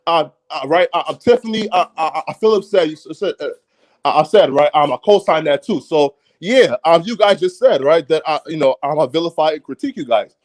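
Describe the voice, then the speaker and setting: A man in a casual debate, talking haltingly and repeatedly tagging his phrases with short check-in words. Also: fairly high pitch. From outside the United States. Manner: halting, repeatedly adds "right". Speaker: panelist in a group debate.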